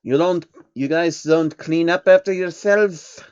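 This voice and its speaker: A man's voice: a little high, unhurried, darkish tone, clean tone, no room sound, muffled recording, pitched above the performer's own voice, close to an everyday voice. A man voicing a male changeling bug creature with an incredulous, disapproving manner. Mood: puzzled, disgusted.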